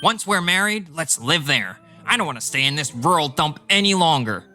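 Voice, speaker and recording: A man's voice: a little high, animated, normal speed, sharp tone, soft background music. A man voicing an arrogant, callous, easily irritated husband in a manga dub, dismissive and self-important. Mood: smug, casual. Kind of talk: dramatized manga dub dialogue.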